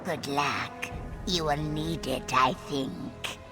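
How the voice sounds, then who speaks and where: An actress playing an indifferent lizard-folk woman in a video game, slow, detached and deadpan. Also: deep voice, over music. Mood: dismissive.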